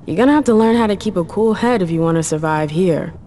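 A woman's voice: on the low side, fast talker, warm tone, a little hiss or hum, natural voice. A woman playing a cool, world-weary vampire club owner in a video game, sardonic and self-assured.

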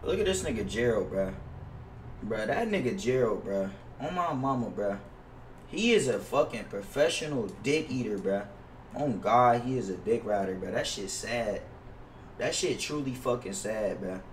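A man's voice: on the low side, lively intonation, moderate pace. A young American man chatting casually to livestream viewers as he games, slangy, profane and complaining. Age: young adult. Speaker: livestreamer playing a basketball video game. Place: indoors at a gaming setup. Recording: roomy, distant mic with faint noise.

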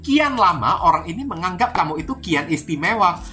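Woman, from Indonesia, tarot reader, slightly low pitch, bright edgy tone, chatty, repetitive, informal, addressing viewers. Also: sing-song, a little fast. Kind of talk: informal card-reading talk to viewers. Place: indoors at a card table. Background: music.

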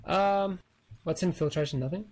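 A man's voice: high-pitched, normal speed, a little hiss or hum. A man talking casually with teammates in gaming voice chat as he calls strategy. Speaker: team player calling strategy. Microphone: gaming voice chat microphone.

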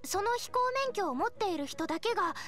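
A woman playing a cheerful, responsible young knight scout in an anime game, explaining things in an energetic, friendly way. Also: very high voice, quick pace. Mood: friendly, upbeat. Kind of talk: Japanese anime game dialogue.

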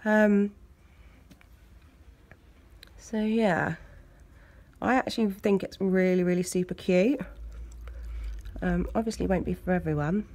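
A woman with an English accent, hoarse from a cold, chatting casually as she talks through a nail art tutorial. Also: mid-range voice, fairly even intonation, takes it slowly, long pauses, darkish tone. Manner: chatty, loose, lots of fillers. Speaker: nail technician. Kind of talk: step-by-step tutorial narration.

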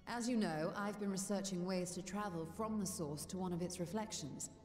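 An actress playing a proud, determined and wry scholar-sorceress in a fantasy game, speaking with composed, formal, old-fashioned phrasing. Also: female voice, on the low side, normal speed, a little mellow, reverberant, in a large hall, soft background music, close to an everyday voice. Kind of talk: scripted fantasy game voice acting.

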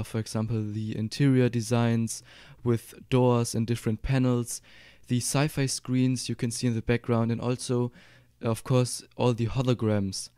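A man's voice: medium pitch, warm tone, clean tone, no echo, clean studio sound. A young adult man from Germany with a German accent, explaining a 3D modeling tutorial in an upbeat, instructive way. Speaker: Blender tutorial YouTuber. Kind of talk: screen-recorded tutorial voice-over.

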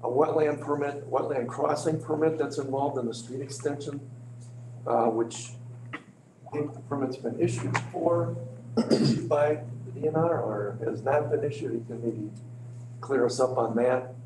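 A man with a slightly crackly voice, briefing a meeting informally as an official, halting and hedging as he goes. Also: mid-range voice. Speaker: village official presenting to a board. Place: indoor village board meeting room. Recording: echoey meeting room, clear hum.

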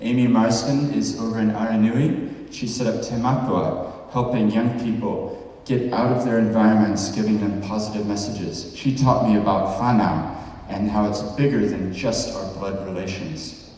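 A man's voice: fairly low pitch, fairly even intonation, moderate pace, darkish tone. A man in his forties from New Zealand, giving a conversational conference talk and asking the audience questions. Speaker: podcast host giving a talk. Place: large hall with a stage. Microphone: handheld mic, heard from far off. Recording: echoey hall from far off.

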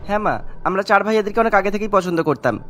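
A man's voice: high voice, expressive, quick pace, a bit bright. A man voicing an earnest young son who explains himself pleadingly in a cartoon story.

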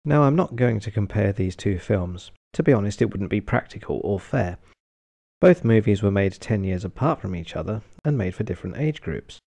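A British man giving a scripted review narration in a deadpan, sardonic, sweary manner. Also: on the low side, vocal fry. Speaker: film reviewer.

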